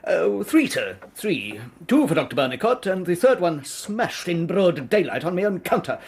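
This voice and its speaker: A man's voice: high voice, big pitch swings, moderate pace, darkish tone, husky, heavily acted. An actor playing an indignant, fussy shopkeeper in a radio drama, blustering and querulous, repeating himself as he grumbles. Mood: flustered, grumbling.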